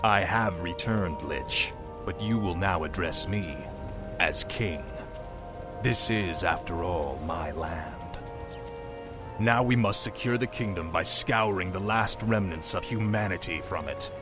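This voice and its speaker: A man's voice: sits low, takes it slowly. An actor playing a cold, arrogant young undead warrior king in a video game cutscene, commanding and menacing in formal speech. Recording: music behind the voice.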